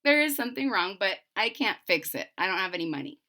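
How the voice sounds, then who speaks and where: A woman voicing a gentle, kind old male harper in a storybook reading, soft-spoken and kindly. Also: mid-range voice, big pitch swings, fast. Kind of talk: storybook read-aloud.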